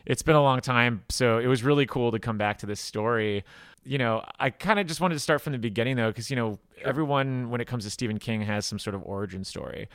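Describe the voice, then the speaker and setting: A man's voice: mid-range voice, fast, smooth, dry recording, studio quality. A man co-hosting a podcast, asking questions in a friendly, enthusiastic way. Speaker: podcast co-host. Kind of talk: casual podcast interview.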